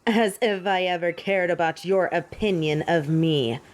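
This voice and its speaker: An actress playing a haughty, possessive fallen angel in an audio drama, with an imperious, cool and disdainful delivery. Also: female voice, lowish voice, unhurried, slight background noise, close to an everyday voice. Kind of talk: dramatic audio roleplay acting.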